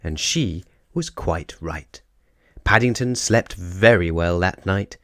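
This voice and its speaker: A man narrating a children's storybook as an expressive storyteller. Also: deep voice, takes it slowly, dry recording, clean studio sound.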